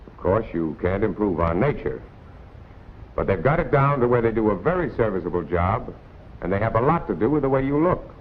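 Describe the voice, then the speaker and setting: An American man narrating a 1940s instructional film in a plain-spoken, colloquial, admonishing way. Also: fairly low pitch. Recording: tinny, noisy 1940s film soundtrack.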